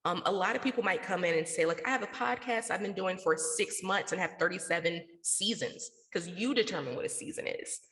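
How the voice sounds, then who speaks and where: A woman's voice: fairly low pitch, a little fast, few pauses, a bit bright. An American woman from the South talking casually and slangily as a guest in a radio interview. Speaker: interview guest, podcast network founder. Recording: echoey, reverberant room.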